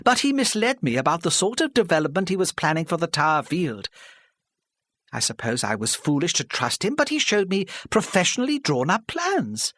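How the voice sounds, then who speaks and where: A man's voice: fairly high pitch, very animated, normal speed, fairly bright tone, no room sound, studio quality, pitched above the performer's own voice. A man voicing a nervous older woman. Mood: earnest. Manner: pleading, apologetic. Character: older middle-class woman, anxious and earnest.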